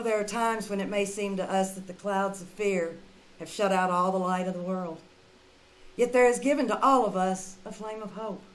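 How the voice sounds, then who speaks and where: A woman playing a brisk, kindly lady in the style of 1940s radio drama. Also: medium pitch, close to an everyday voice, rough recording. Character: Charlotte, brisk kindly woman, scolding then hopeful. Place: live community theater stage performance.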